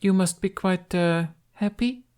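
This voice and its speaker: A man plays a regal, cordial foreign empress, pitched well up. She phrases things formally and haltingly, as if in a second language, always composed, in storybook style. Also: a little mellow, clear, resonant tone. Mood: cordial, probing.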